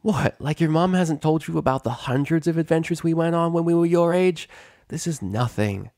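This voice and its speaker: A man's voice: fairly high pitch, moderate pace, darkish tone, no room sound, clean studio sound, voice pushed up, close to an everyday voice. A man voicing a cocky, easygoing middle-aged female pegasus pony who brags casually, in a storybook reading. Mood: cocky, teasing. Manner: breezy, bragging, casual.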